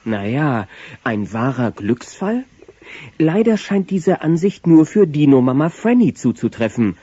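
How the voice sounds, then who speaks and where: A man's voice: fairly high pitch, sing-song, unhurried, mellow, slightly rough, exaggerated voice. A man narrating a children's audio play as a wry storyteller in a scripted style. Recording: muffled old cassette. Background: music.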